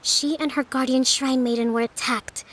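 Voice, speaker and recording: A woman voicing a composed, gracious young priestess leader in a video game, speaking in a measured, formal, explanatory way. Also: sits high, fairly even intonation, a bit bright, slightly rough. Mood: grave, recounting. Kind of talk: dramatic video game acting.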